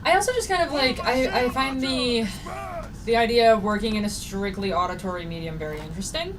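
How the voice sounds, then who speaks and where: A woman's voice: a little high, brisk. A woman author hosting a casual livestream, rambling with frequent laughs and fillers. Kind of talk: casual chat while streaming a game. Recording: distant mic with noticeable noise.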